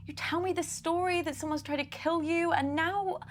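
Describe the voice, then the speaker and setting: Casting: earnest, doubtful young niece, high-pitched, hesitant delivery, interactive mystery film. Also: female voice, fairly even intonation, normal speed, a little hiss or hum. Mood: doubtful, hurt. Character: doubtful, worried young niece of a dying uncle. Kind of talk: naturalistic film drama acting.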